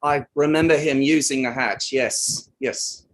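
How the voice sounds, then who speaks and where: A man's voice: medium pitch, steady tone, unhurried, a bit bright, close to an everyday voice, poor audio. A man playing a matter-of-fact, slightly defensive boat crewman giving evidence in a table read of an inquiry play.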